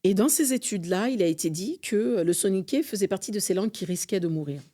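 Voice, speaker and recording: A woman's voice: mid-range voice, lively intonation, fast, dark tone. A middle-aged woman from France, a guest in a conversational interview, speaking earnestly and fervently with frequent hesitations. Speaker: podcast guest, association vice-president.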